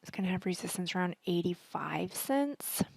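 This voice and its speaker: A woman with a husky, partly hoarse voice, chatting casually and thinking aloud as she hosts a stock market screen-share commentary. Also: fairly low pitch, expressive, normal speed, a little mellow, dry recording. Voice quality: husky, worn voice. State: partly hoarse from singing at a concert. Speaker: stock trading educator, video host.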